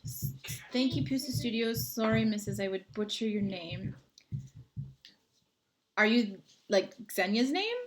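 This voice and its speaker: A woman's voice: medium pitch, expressive, a little slow, pauses often. Chatty, friendly woman in her thirties, livestream host reading out viewer comments, mid-range voice, computer mic at home. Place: home, indoors.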